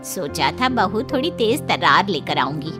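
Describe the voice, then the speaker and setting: A woman playing a chatty, rueful middle-aged auntie in a Hindi cartoon story, with gossipy, drawn-out exclamations. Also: on the low side, sing-song, music in the background.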